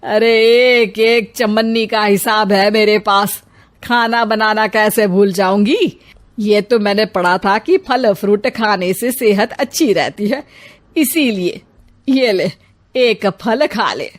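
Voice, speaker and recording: A woman's voice: mid-range voice, takes it slowly, close to an everyday voice. A woman voicing a proud, scolding older Indian mother-in-law in a cartoon family comedy. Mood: defensive.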